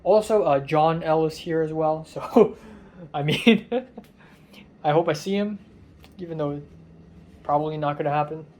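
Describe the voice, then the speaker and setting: A tired young man with a raspy voice, rambling through a casual vlog from a hotel room, halting with many ums. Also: on the high side, a little mellow. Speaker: vlogger.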